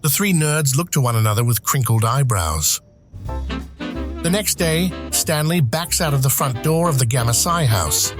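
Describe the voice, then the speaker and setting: A male narrator delivers dramatic scripted narration in a medium-pitched voice. His phrasing is measured and deliberate. Music plays clearly behind him.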